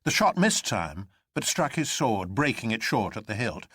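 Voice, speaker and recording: A man narrating a literary adventure as a storyteller, in a theatrical, expressive manner. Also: lowish voice, normal speed, breathy edge, dry recording, clean studio sound.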